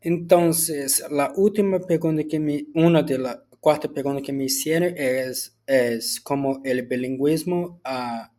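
Slow, halting man with a Brazilian Portuguese accent. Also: on the high side, warm tone. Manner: halting, with frequent restarts and filler "ah". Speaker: student. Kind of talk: answering set questions in a spoken school assignment.

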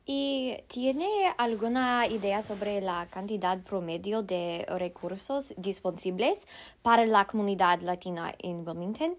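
Young adult woman student interviewer, polite, slow-paced, mid-range voice. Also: medium pitch. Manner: polite, with short encouraging backchannels. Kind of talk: interview questions.

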